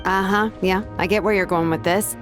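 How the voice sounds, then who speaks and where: A woman playing a confident, straight-talking young fighter in a video game, casual and expressive. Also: mid-range voice, normal speed, lightly acted. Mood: confident, curious. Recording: music playing behind.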